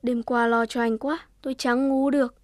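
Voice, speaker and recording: A woman voicing a gentle, naive, earnest little rabbit in a children's cartoon. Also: on the high side, level tone, moderate pace, no room sound, clean studio sound, close to an everyday voice. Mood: kind, tired. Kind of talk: Vietnamese children's cartoon acting.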